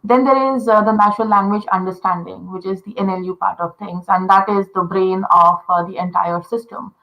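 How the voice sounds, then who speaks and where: A woman's voice: medium pitch, fairly even intonation, a little fast, highs cut off. A woman from India, a voice designer patiently explaining how voice assistants work, full of "uh" and "you know". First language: Telugu. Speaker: voice interaction designer. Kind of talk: explaining in a casual interview.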